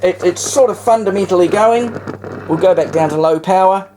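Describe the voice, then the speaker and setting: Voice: medium pitch, normal speed, warm tone. Rambling New Zealand hobbyist, noisy recording. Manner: rambling, thinking aloud. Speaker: vintage radio hobbyist and presenter. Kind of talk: casual hands-on demonstration commentary. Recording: clearly noisy amateur home recording.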